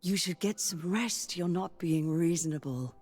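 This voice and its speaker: A woman's voice: on the low side, measured pace, darkish tone, lightly acted. An actress playing a sensible, exasperated lady caretaker in a fantasy game, speaking in a dry, formal, scolding manner. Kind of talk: dramatic fantasy game acting.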